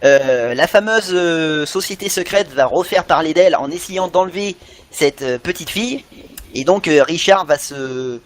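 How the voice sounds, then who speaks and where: A man's voice: a little high, a little slow. A middle-aged man chatting casually and enthusiastically as a podcast co-host, often searching for his words. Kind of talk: casual animation fan chat.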